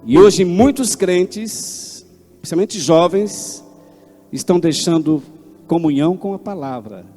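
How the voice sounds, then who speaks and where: A man, preaching a sermon with fervent swings between loud declaiming and low asides. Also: lively intonation, a little slow. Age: 60s. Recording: long hall reverb, faint instrument music behind.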